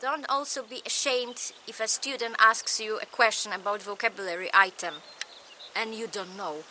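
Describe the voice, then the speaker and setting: A woman with a Polish accent, a native Polish speaker, giving a recorded lecture in slow, measured, short phrases. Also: medium pitch, steady tone, sharp tone, slight background noise.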